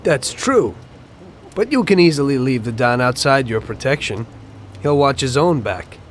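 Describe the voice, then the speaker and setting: A man's voice: medium pitch, animated, moderate pace, slight background noise, close to an everyday voice. An actor playing a loyal, matter-of-fact mafia man with a streetwise American accent in a video game. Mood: earnest, defensive. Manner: plain-spoken, streetwise, respectful. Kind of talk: video game cutscene acting.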